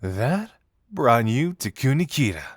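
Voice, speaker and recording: Man acting a playful detective, very lively pitch. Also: measured pace, dry recording, clean studio sound. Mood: sly, musing. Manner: teasing, amused, conversational. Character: playful, teasing young male detective, amused confidant. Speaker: voice actor in an audio roleplay. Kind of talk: intimate scripted audio roleplay addressed to the listener.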